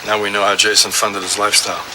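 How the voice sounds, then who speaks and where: Casting: male actor as a hardboiled cop, deep-voiced and businesslike, for audio crime drama; noticeable background rumble. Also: monotone, fast, lightly acted. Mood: terse, matter-of-fact. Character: hardboiled male police detective, terse and businesslike. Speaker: actor playing a detective. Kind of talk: hardboiled police radio drama narration.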